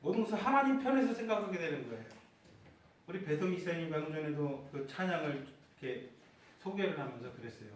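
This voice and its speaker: A man preaching a sermon as pastor, asking the congregation questions and rising to fervent, shouted prayers. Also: a little high, lively intonation, fast talker, a little hiss or hum. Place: church sanctuary. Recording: echoey church hall heard from far off.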